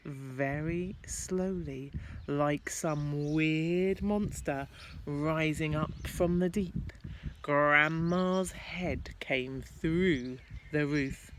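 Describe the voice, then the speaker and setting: A woman reads a storybook to children expressively, adding chatty asides and questions to young listeners. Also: sits low. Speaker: primary school staff member reading to children. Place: at home, apparently outdoors in garden. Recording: clear background noise, amateur home recording.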